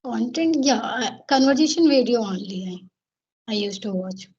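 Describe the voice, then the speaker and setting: A woman practicing spoken English as a guest on a video call, nervous and hesitant, full of pauses and fillers. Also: a little high, expressive, darkish tone, husky, highs cut off. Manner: hesitant, many fillers and restarts. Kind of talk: conversation practice.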